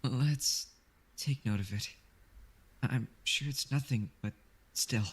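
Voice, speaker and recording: A man voice-acting a hesitant, reluctant young amateur investigator in an animated mystery, stammering a little as he talks. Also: mid-range voice, takes it slowly. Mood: subdued, hesitant.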